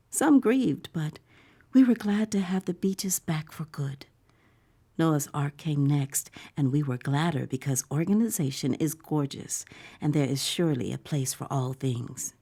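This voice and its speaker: A woman acting the uneasy, eerily giddy narrator of a dystopian tale in measured, dramatic, sometimes breathy narration. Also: deep voice, warm tone, exaggerated voice. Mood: uneasy, solemn. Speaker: actor narrating a dystopian story.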